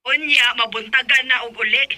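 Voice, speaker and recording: Radio drama role: nagging, meddling Cebuano Filipino mother, insistent complainer with a very sharp, forceful edge. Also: fairly high pitch, steady tone, normal speed. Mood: complaining. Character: nagging, meddling older mother-in-law stirring up trouble. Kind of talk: Bisaya radio drama acting.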